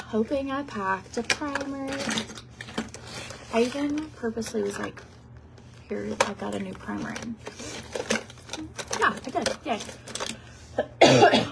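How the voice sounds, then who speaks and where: A woman's voice: a little high, slow, gravelly, pauses often, heavy background noise. A sniffly woman in her thirties from Tennessee, chatting in a rambling, casual way while getting ready in a hotel room, talking into a phone held at arm's length. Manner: chatty and rambling, lots of likes and ums. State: sniffly from a cold. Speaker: beauty vlogger. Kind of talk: casual get-ready-with-me chat. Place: fancy hotel room, indoors.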